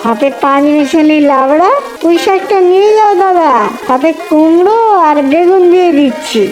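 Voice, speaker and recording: A woman's voice: extremely high pitch, moderate pace, over music, rough recording. A woman voicing a chatty, pushy but friendly vegetable seller in a cartoon, talking in a familiar, persuasive way. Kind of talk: cartoon dialogue.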